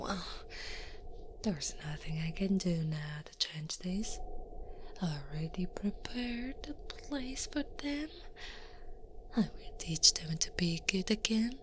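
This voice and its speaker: An Italian woman voicing a towering Krampus monster woman, a stern yet soothing captor, in a breathy ASMR whisper. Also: medium pitch. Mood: disappointed, resigned. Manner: slow, deliberate, coaxing and menacing. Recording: noisy ambient background.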